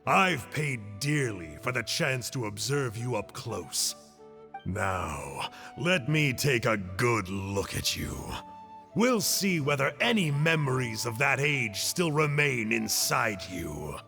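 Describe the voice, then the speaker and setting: Drenched in long reverb, a grandiose scholarly game villain. He declaims slowly and portentously, with big swings of pitch over soft music. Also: very animated, gravelly, heavily acted. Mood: menacing, curious. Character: grandiose scholarly male antagonist musing on fallen civilizations. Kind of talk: dramatic video game monologue.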